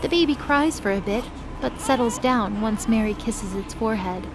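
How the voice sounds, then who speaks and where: A woman narrator tells a dramatic scripted story in a fairly high voice. There is clear noise in the background of the recording. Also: moderate pace.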